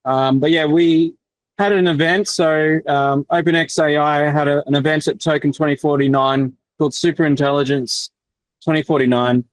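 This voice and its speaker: A man's voice: fairly high pitch, fairly even intonation, normal speed, rough recording. A man presenting a developer workshop on a video call, explaining casually with many fillers and restarts.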